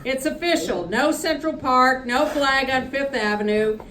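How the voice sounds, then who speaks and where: A woman's voice: high voice, measured pace, a bit bright, slight background noise. A woman reading aloud, voicing a blunt woman friend who fumes while delivering bad news. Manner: blunt, fuming. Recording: echoey room from a distance.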